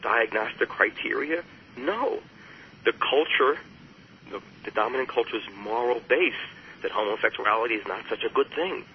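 A man who is an author and guest on an interview show, talking in a measured, earnest way and often posing rhetorical questions. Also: medium pitch, lively intonation. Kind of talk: reflective interview talk. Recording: muffled radio sound with faint hiss.

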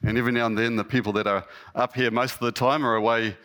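A man with a New Zealand accent and a slightly husky voice, giving an informal, lightly humorous, self-deprecating sermon to a congregation as a lay guest preacher. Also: mid-range voice, fairly even intonation, fast talker, fairly bright tone.

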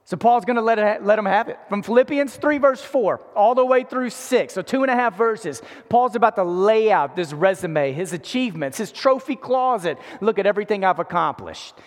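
A male preacher in his forties speaks in a notably high register. His delivery is conversational yet emphatic, circling back over phrases to give them weight. Long reverb from a large hall trails his words. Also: expressive, brisk, few pauses. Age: 40s. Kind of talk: conversational sermon. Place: large indoor room before an in-person audience.

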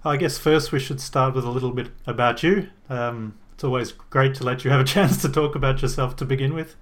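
Chatty upbeat guy hosting a podcast, pretty average pitch. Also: steady tone, fairly quick. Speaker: podcast host. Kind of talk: podcast intro and interview.

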